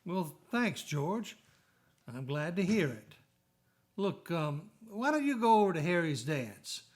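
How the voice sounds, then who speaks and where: An actor playing a kindly, patient father in a radio play, speaking in a measured, sincere way. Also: on the high side, big pitch swings. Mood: gentle, patient. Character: kindly, patient father, gentle older businessman. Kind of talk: old-fashioned radio play acting.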